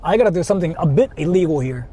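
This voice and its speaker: A man's voice: fairly high pitch, animated, rapid-fire, warm tone, husky. A man streaming live while he drives, talking to his chat and singing along to songs between remarks. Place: in a car.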